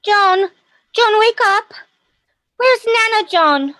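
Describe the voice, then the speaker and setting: A woman's voice: extremely high pitch, expressive, slow pace, sharp tone, dry recording, full character voice. A woman playing a very small, eager child in an audio play.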